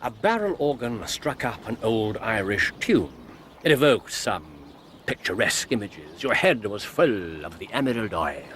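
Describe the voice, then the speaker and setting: An actor playing a keen, self-assured Victorian detective with an English accent and a precise, theatrical manner in a radio drama. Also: male voice, lowish voice, big pitch swings, moderate pace, a bit bright, slight background noise. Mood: teasing, assured. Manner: precise, theatrical, teasing deductions.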